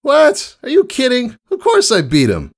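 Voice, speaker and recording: A man's voice: very high-pitched, wildly swooping pitch, moderate pace, voice pushed up, exaggerated voice. A man voicing a brash, cocky female pegasus pony mentor in a storybook reading, with a casual, boastful way of talking. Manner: boastful, casual, hesitant small talk.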